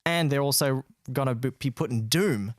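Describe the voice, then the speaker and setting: A man's voice: mid-range voice, normal speed, no echo, clean studio sound. A young Australian man co-hosting a gaming podcast, giving news in a laid-back, matey, casual way.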